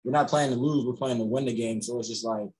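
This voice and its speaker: A young man, a college basketball player, answering reporters on a video call after a game, relaxed and full of "you know" fillers. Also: mid-range voice, quick pace, slight background noise, low-quality recording. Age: young adult. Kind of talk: postgame press conference answers. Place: indoors in front of a logo backdrop.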